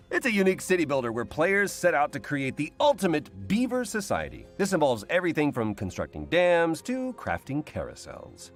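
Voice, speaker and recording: A man presents a video game showcase as a scripted comic co-host. His delivery is playful and theatrical, with extremely animated intonation. Music plays behind his voice. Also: on the high side, normal speed.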